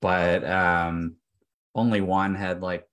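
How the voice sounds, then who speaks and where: A man in his forties chatting casually on a group video call, halting as he thinks aloud. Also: low-pitched, little pitch movement, fairly bright tone. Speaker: panelist. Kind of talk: casual chat.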